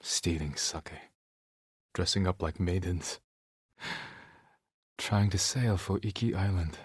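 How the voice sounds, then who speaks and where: An honorable samurai warrior from a video game, solemn and reflective. His voice is deep and rough-edged, recorded close and very dry. He speaks with restraint, like a stoic man carrying grief. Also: no echo, full character voice. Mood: wistful. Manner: restrained, solemn, measured delivery. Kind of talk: dramatic video game acting, reflective monologue.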